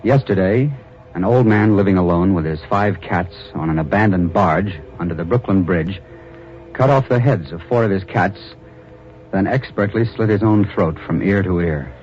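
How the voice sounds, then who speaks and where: An actor playing a hard-boiled young police reporter. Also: male voice, sits low, normal speed, soft background music, close to an everyday voice. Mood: brooding. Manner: tough, wisecracking first-person narration. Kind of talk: 1940s radio drama narration and acting. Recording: dull lo-fi old radio recording.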